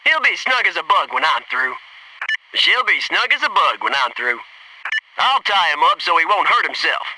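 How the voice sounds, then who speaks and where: An actor playing a folksy, dutiful Navy SEAL commando, giving short, clipped military callouts in a video game. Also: male voice, on the high side, very animated, normal speed, sharp tone, recorded from a distance, slight background noise, heavily acted, poor audio. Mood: folksy, confident.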